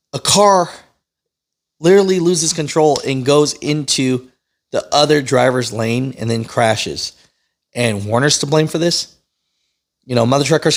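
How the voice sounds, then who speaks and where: A man hosting a news channel, reading and explaining in a casual, opinionated, conversational way. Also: medium pitch. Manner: conversational, opinionated, often checks in with "right?" and "you know". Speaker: host of a trucking news channel.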